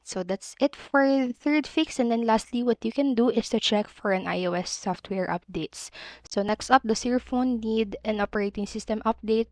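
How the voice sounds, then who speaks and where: A woman's voice: mid-range voice, darkish tone, no room sound. A woman giving a scripted how-to voice-over as a tutorial host, explaining step by step and occasionally stumbling over words.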